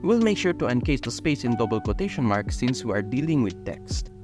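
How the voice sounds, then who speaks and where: A young man with a Filipino accent talks through spreadsheet functions one step at a time, his pitch rising and falling in a lively way. The tone stays instructional and even-paced, and clear guitar music plays behind his voice. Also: a little fast. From Philippines. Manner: steady explanatory step-by-step delivery. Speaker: tutorial host. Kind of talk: screen-recorded software tutorial.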